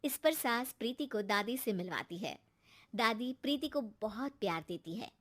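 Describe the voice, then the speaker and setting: A woman's voice: fairly high pitch, lively intonation, normal speed, fairly bright tone. A woman narrating a scripted cartoon family story as the storyteller.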